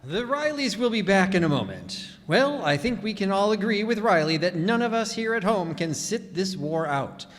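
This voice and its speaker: An actor playing an earnest, polished 1940s radio announcer, declaiming persuasively to the listeners. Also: male voice, sits high, sing-song, normal speed, hardly any pauses, slight background noise. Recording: reverberant hall stage recording.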